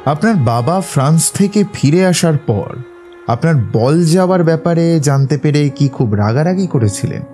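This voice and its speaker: A man acts a composed detective in an audio drama. His voice is dark and mellow yet fairly high, and he questions in a measured way over music. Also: lively intonation, close to an everyday voice. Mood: probing. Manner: measured, inquisitive. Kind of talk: dramatised audio story acting.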